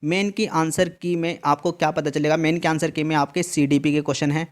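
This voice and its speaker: A man's voice: a little high, steady tone, fairly quick. A man explaining an exam answer key in a tutorial, repeating each instruction and checking in often. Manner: repetitive, emphatic instructions with frequent "theek hai" check-ins. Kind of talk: screen-share tutorial walkthrough.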